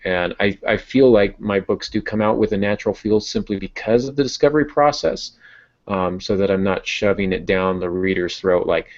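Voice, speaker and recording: A man's voice: low-pitched, gravelly, darkish tone. A man speaking casually on a podcast as a novelist, explaining and thinking aloud. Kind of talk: casual podcast discussion of writing. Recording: dull, lo-fi podcast audio.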